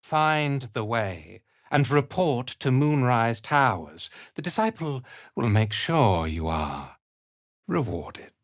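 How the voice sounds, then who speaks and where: A cold fantasy-game villain, a zealous commander of a cult. His delivery is slow and theatrical, swinging widely in pitch with haughty disdain. The sound is dry and close. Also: big pitch swings, no echo. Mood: commanding. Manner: disdainful, measured, theatrical, imperious. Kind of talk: fantasy video game dialogue.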